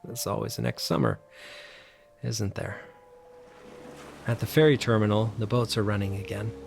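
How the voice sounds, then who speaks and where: An actor playing a troubled, grieving middle-aged man, reflective and halting, narrating in an audio drama. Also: lowish voice, level tone, gravelly, a little hiss or hum. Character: troubled, wistful grieving man returning to childhood haunt. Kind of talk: audio drama acting with first-person narration.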